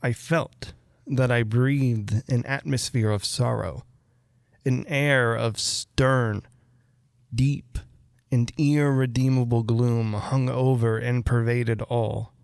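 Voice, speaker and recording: Male narrator for classic Gothic fiction: unhurried pace, creaky low-end fry, measured phrasing. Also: fairly even intonation, darkish tone, no echo. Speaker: narrator reading a classic Gothic short story aloud. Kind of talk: measured literary audiobook narration.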